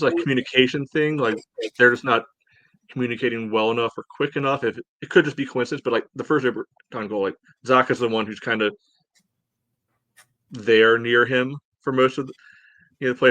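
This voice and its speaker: A man's voice: mid-range voice, steady tone, brisk, a bit bright, no room sound. A man co-hosting a podcast, discussing soccer casually and tentatively, often padding his points with filler phrases. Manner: hedging, conversational, frequent "you know". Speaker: podcast co-host, soccer fan. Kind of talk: casual sports analysis chat.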